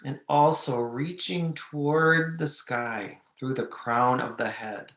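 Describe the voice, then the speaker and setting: A man leading a guided meditation, giving gentle, measured instructions with long pauses. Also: mid-range voice. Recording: echoey room.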